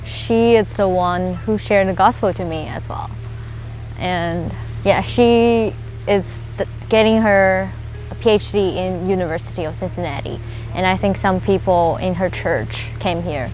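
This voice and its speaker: A young woman from China with Chinese as her first language, a college student answering interview questions in a halting, filler-heavy way. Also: fairly low pitch, darkish tone, soft background music, low-quality recording. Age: young adult. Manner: hesitant, many fillers and restarts.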